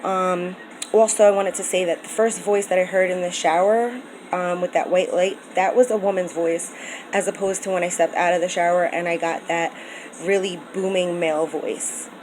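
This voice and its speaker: An earnest woman from the United States speaks to her viewers in a low-leaning voice, circling back with ums and restarts. Her talk is loose and personal, and a faint hiss sits under the recording. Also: fairly even intonation, normal speed, a little mellow, low-quality recording. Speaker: vlogger. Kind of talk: casual personal vlog talk.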